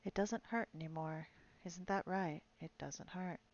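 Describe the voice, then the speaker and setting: Soft coaxing voice at a deathbed, read by a woman, low and dull-sounding mic. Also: a little slow. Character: gentle soothing stranger coaxing a dying man.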